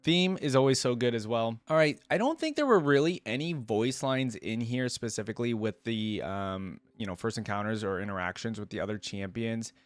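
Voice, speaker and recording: A man's voice: mid-range voice, expressive, moderate pace, few pauses, dry recording. A man giving casual reaction commentary as a host, rambling and thinking aloud.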